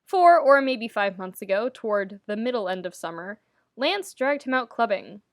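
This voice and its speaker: A woman narrates fiction in an expressive storytelling style. She leans on phrases with wry, theatrical emphasis. The sound is dry, with very little room in it. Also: medium pitch, moderate pace, no echo. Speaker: podfic narrator reading fan fiction.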